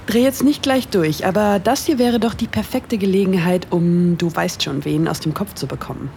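A woman's voice: lowish voice, moderate pace, gravelly, mellow, a little hiss or hum. A woman playing a cheeky young best friend in an audio drama, casual, playful and teasing in her dialogue. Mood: casual, coaxing.

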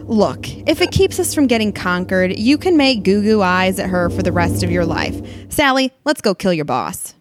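A woman's voice: medium pitch, sing-song, moderate pace, few pauses, music in the background, natural voice. A woman playing a scheming, sarcastic, pushy human in an audio play, out for her own interests. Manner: sardonic, pushy.

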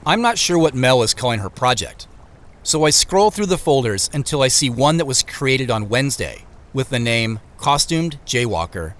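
A man's voice: medium pitch, a bit bright. An actor playing a wry, beleaguered office man on the run, narrating his own audio drama in the first person with understated, muttered asides. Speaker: actor narrating as main character.

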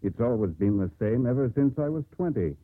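A man plays a grown son full of scorn for sailing. He speaks dismissively with animated, mocking intonation and a husky edge. The sound is a thin, old radio drama broadcast. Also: mid-range voice, fairly quick, natural voice. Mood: scornful. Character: scornful landlubber son in his twenties or older. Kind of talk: 1950s radio drama acting. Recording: muffled, narrow-band old radio broadcast.

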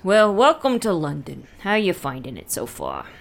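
A woman's voice: on the low side, wildly swooping pitch, gravelly, full character voice. A woman playing a brash, foul-mouthed middle-aged American office boss in an audio drama comedy, blunt and emphatic. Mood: condescending, brisk. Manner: blunt, emphatic, drawn-out delivery.

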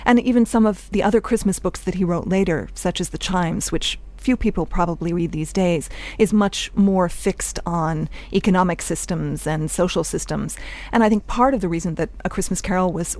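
A woman with a fairly low voice explains things in interview style. She is articulate and academic, forming long, thoughtful sentences as a professor would. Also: moderate pace, a little mellow.

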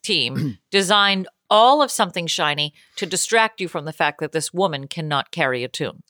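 A woman's voice: on the low side, animated, moderate pace, sharp tone, dry recording, clean studio sound. A woman hosting a comedy podcast, brash and teasing, laughing often. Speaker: podcast host.

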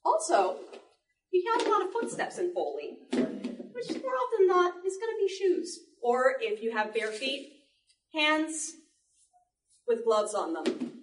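A woman giving a live demonstration talk to an audience, explaining in an animated way with playful asides. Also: sits high, a little slow, pauses often, noisy background. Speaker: panel presenter, sound effects artist. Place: indoor library panel before an audience. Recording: echoey room, distant microphone.

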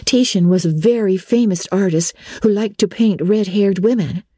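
An earnest schoolgirl of sixteen, acted out by a woman reader, pitched high and full of dreamy conviction. She talks in a breathless, emphatic way, with a soft tone and a close, dry storybook recording. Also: normal speed, no echo, natural voice. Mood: amused.

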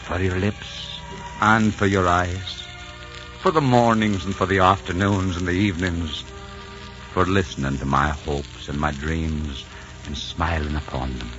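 Old radio romance: deep, theatrical nobleman lover, ardent, solemn, resolute, on a dull period recording. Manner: theatrical, tender, solemn phrasing. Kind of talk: 1940s radio romantic drama acting. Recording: muffled 1940s radio recording with music.

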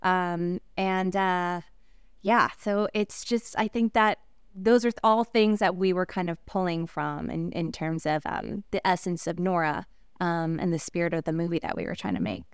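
A woman, a native Korean speaker, talking reflectively as an actress in an interview, halting with fillers and soft laughs. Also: on the low side, smooth, no echo, studio quality.

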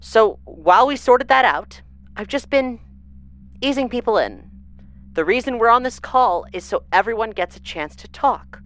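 A woman's voice: a little high, lively intonation, normal speed, lightly acted. A woman playing a blunt, impatient security leader on a moonbase in a sci-fi audio drama, brusque and commanding. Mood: brusque impatience.

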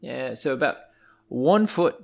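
A man's voice: mid-range voice, expressive, unhurried, vocal fry, a little mellow. Playful man telling animal stories. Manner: playful, theatrical put-on accent. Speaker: host of a children's animal podcast. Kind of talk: informal storytelling narration for listeners. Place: indoors beside a wood stove.

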